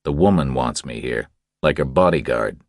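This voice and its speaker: A man voicing a calm, tough drifter hero in an audiobook, laconic, steady and stubbornly protective. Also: extremely low pitch, moderate pace, no echo, studio quality. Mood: insistent, protective.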